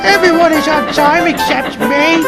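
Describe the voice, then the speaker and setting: A man's voice: very high voice, moderate pace, voice pushed up. A man voicing an eager young boy steam engine, earnest and pleading. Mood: sad. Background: loud background music.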